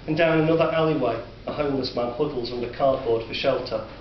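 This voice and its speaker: A man with a Northern English accent narrating a dark story in a measured, sombre way. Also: medium pitch. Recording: distant, roomy, dull recording with faint hiss.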